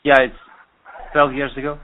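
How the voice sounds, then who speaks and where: A man's voice: medium pitch, monotone, noisy background. Russian-accented man on a tinny phone line. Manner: halting non-native English, searching for words. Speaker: DJ and producer. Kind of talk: interview over the phone.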